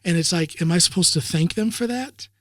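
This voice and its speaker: A man's voice: high-pitched, a little fast, a bit bright, dry recording. A man from the United States with a slightly raised voice, hosting a casual interview. He chats easily, often repeating a word or two before his thought comes out. The sound is dry and close. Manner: friendly, repeats words when starting. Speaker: podcast host.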